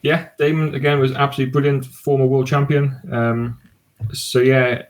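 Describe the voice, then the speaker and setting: A man talks as a podcast guest in an easy, enthusiastic ramble. His voice sits at an ordinary middle pitch, and he often stops and restarts his sentences. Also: level tone, normal speed, poor audio. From Cardiff, Wales. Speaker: podcast guest and sports podcast host. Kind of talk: casual podcast conversation.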